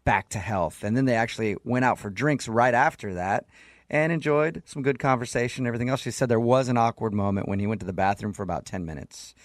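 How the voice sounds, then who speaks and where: A man's voice: medium pitch, fast talker, no room sound. Man, morning radio host. Mid-range voice, casual joking banter, conversational, very dry sound. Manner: teasing, conversational. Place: radio studio.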